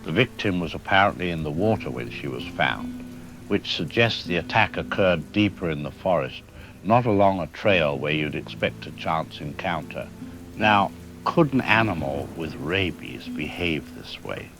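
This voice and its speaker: A man playing a careful, deliberate wildlife expert doctor interviewed on the news in an audio drama. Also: very deep voice, animated, over music, low-quality recording. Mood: measured concern. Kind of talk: broadcast interview acting.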